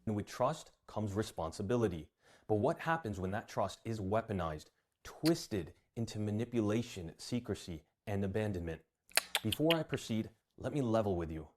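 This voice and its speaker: Male narrator, low pitch, measured scripted read. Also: expressive, a little mellow.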